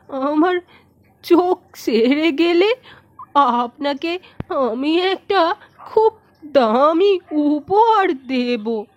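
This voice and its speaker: A woman's voice: high-pitched, pitched below the performer's own voice, full character voice. A woman voicing a poor old village woman who speaks humbly and pleadingly. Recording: dull lo-fi narration track.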